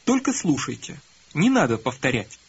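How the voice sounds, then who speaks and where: A man leads a language course in a calm, instructive tone, prompting the listener to repeat each phrase. His voice sits a little high and sounds breathy and husky. The recording is dull and lo-fi. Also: moderate pace. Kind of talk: scripted instruction prompting learners.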